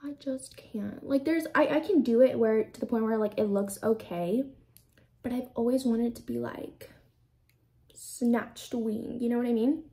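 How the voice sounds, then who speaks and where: A woman's voice: a little high, measured pace, warm tone. Chatty young woman rambling with "like". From United States. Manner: chatty, rambling, heavy use of "like". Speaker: beauty vlogger. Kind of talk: casual get-ready-with-me chat. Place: small indoor room with a plain backdrop.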